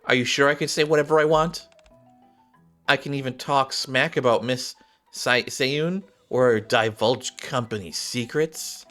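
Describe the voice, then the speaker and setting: A man's voice: medium pitch, a little slow, sharp tone, faint music behind, pitched above the performer's own voice. A man voicing an eager, cheeky young gamer girl in read-aloud game dialogue, chatty in manner.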